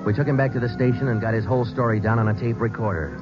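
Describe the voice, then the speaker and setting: An actor playing a terse, deadpan male police sergeant who narrates an old-time radio detective drama. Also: medium pitch, rapid-fire, lightly acted. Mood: matter-of-fact narration. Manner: clipped, deadpan. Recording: dull vintage radio recording, music behind.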